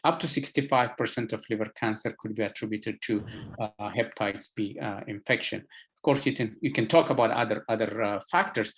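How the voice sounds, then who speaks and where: Ethiopian guy w low voice doing a medical talk, lots of uhs and repeats. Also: breathy edge. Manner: halting, hesitant, with frequent filler sounds and repeated words. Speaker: medical expert presenter. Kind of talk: lecture presentation to health professionals.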